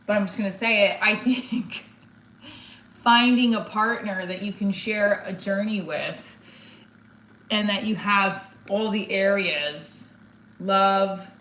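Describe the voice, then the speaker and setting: A woman's voice: medium pitch, fairly bright tone. A woman in her forties chatting casually on a livestream, blunt and candid, rambling and often breaking off mid-thought. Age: 40s. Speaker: stand-up comedian livestreamer. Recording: roomy echo, some background noise.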